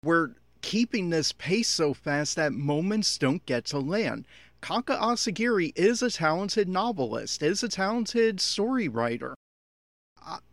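A man's voice: a little high, fairly bright tone, dry recording, clean studio sound. A man giving unscripted, opinionated audio commentary on an anime episode, critical and exasperated in manner.

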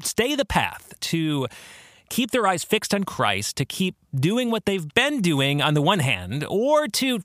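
A man hosting a podcast, explaining theology in a conversational, earnest way with expressive emphasis. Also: high-pitched, slow pace, no echo, clean studio sound. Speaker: podcast host.

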